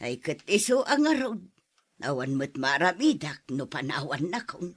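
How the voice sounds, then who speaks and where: A woman's voice: low voice, sing-song, normal speed, husky, exaggerated voice. A woman playing an elderly, grumbling grandmother in an Ilocano radio drama, plain-spoken and scolding, with an Ilocano accent. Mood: resentful. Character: elderly grandmother and mother, grumbling, partial to favorites.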